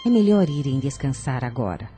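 An actress playing a kind, hospitable older aunt in a radio drama, gentle and maternal. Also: female voice, rumbling low, sing-song, moderate pace, soft background music. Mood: kind, welcoming.

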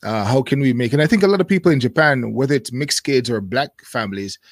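A man in his thirties, a guest in a casual interview, speaking thoughtfully with many pauses and fillers. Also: mid-range voice, expressive, no echo, clean studio sound.

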